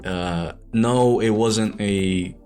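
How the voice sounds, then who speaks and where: A young man from Ireland muses aloud in a slightly low voice, stumbling and restarting his sentences as he reflects. Soft music sits faintly underneath him. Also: very slow, clear, resonant tone. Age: young adult. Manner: hesitant, rambling, many restarts and self-corrections. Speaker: animator and show creator. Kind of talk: reflective monologue to camera.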